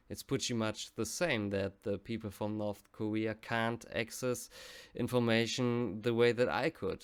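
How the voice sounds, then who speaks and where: German man, thirties, casual vlog host; fry in a resonant low voice, hesitant delivery. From West Germany. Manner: halting, many fillers and restarts. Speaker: reaction channel host. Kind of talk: casual storytelling.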